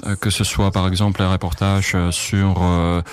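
A man speaks in a deep, low voice, pausing often and restarting his sentences. The sound is dry and close, with no room around it. He answers questions as a journalist. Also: little pitch movement, clean tone, no echo. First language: Czech. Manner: hesitant, many fillers and restarts. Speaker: journalist, correspondent in France. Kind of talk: interview answers.

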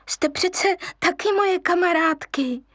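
Woman voicing a little boy lion cub, high, childlike and eager. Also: a bit bright, dry recording, studio quality. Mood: pleading, eager.

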